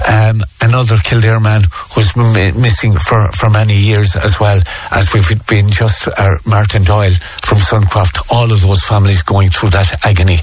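A man's voice: on the low side, flat delivery, normal speed, few pauses, soft background music. A man with an Irish accent hosting live radio talk and interviews. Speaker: radio show host.

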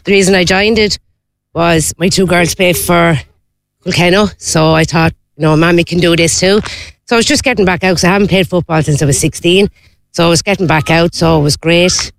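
A woman's voice: fairly low pitch, fairly quick, creaky. A woman with an Irish accent, a mother who plays on the team, talking with chatty enthusiasm. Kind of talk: casual interview.

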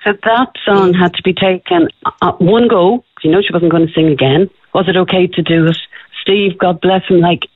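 A middle-aged Irish woman, a former television presenter, telling a lively story as a radio interview guest. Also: lowish voice, moderate pace, slightly rough, dry recording. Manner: breathless, excitable, addresses host by name. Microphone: telephone. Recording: tinny phone line.

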